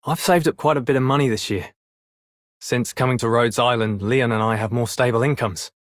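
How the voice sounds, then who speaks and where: A man's voice: mid-range voice, brisk, dry recording, studio quality, close to an everyday voice. A man voicing a practical, considerate young man for a video game, in a friendly conversational way. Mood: thoughtful.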